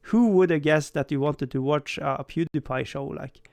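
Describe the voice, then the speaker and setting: A young man speaking English, his voice sitting a little high for a man. He rambles casually to his livestream chat, stumbling over restarts and laughing at himself. The sound is close and dry, with no room echo. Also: moderate pace, vocal fry, darkish tone. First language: Swedish. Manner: rambling, self-deprecating, frequent stammered restarts and laughs. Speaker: livestream host.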